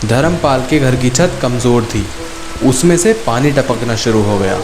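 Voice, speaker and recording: A man's voice: medium pitch, animated, normal speed, echoey room. A man narrating a scripted children's story as a storyteller.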